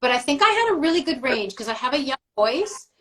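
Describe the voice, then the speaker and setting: A woman's voice: sits high, sing-song, fairly quick, a bit bright. A middle-aged woman, a voice actress, chatting as a guest on a live video call, rambling through memories with fillers and laughs. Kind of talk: casual livestream chat.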